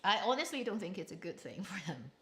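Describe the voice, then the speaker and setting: A woman's voice: mid-range voice, very animated, fast, fairly bright tone. A woman hosting a casual solo chat, giving blunt opinions with lots of restarts and trailing asides. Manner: opinionated, rambling with frequent restarts. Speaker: drama review host.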